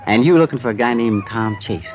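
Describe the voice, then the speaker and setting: An actor playing a shady, pushy street informant in a radio drama, sly and insinuating. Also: male voice, medium pitch, fairly quick, close to an everyday voice. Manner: sly, insinuating wise-guy talk. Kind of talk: 1950s radio acting. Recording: dull old radio recording, music behind. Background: music.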